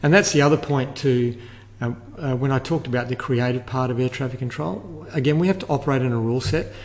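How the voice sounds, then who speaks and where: An older man giving measured, explanatory answers as an interview guest, repeating words as he thinks. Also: medium pitch, darkish tone. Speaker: interview guest, air traffic control manager. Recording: long reverberant room echo.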